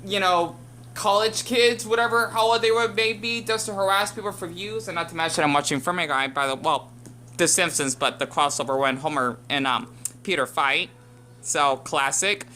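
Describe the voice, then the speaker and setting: A woman's voice: lowish voice, normal speed, pushed and bright, a little hiss or hum. An American woman giving rambling casual commentary as a YouTube reactor, full of fillers and restarts. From United States.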